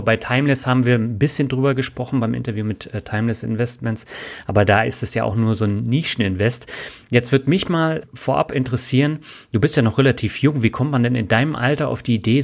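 A man hosting a finance podcast, speaking politely and in a measured way as he wraps up one interview and introduces the next. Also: medium pitch, creaky. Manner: polite, measured, formal thanks. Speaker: podcast host.

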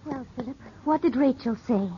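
A woman's voice: high-pitched, moderate pace, slight background noise, natural voice. An actress playing a suspicious young woman in a 1950s radio drama, questioning insistently. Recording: muffled 1950s radio recording.

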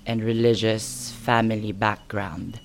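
A man's voice: fairly low pitch, flat delivery, unhurried, creaky, slight background noise. A young man with a Filipino accent giving earnest personal testimony as an activist. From Philippines. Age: young adult. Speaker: human rights activist.

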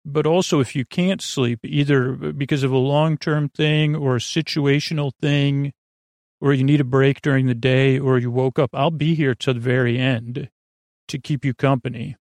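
A man hosting a sleep podcast in a creaky voice, meandering through tangents, halting and repeating himself as he trails off mid-thought. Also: medium pitch, fairly even intonation, darkish tone, no room sound. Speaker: podcast host.

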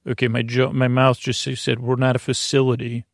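A man hosting a sleep podcast in a gentle, reassuring, whimsical monologue that meanders haltingly, with many repeats and trailing thoughts. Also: medium pitch, completely flat delivery, creaky, dry recording, studio quality. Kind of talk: rambling, whimsical bedtime monologue.

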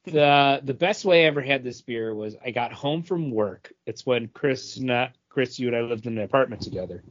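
A man's voice: medium pitch, normal speed, dull audio. A man co-hosting a podcast, chatting casually with his friends. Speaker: podcast co-host.

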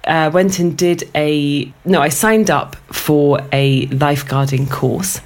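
A woman's voice: low voice, steady tone, slow, a little mellow. A woman guest telling a rambling personal story in casual conversation, laughing often. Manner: chatty and rambling, laughs often, many fillers. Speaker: guest, writer and former lifeguard.

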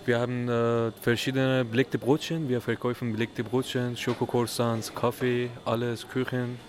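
Young man, halting speech, mid-range voice, school kitchen. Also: mid-range voice, flat delivery. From Iran. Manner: halting, simple phrasing with many pauses. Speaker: vocational school pupil. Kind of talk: answering a reporter's questions. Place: school kitchen at a job fair.

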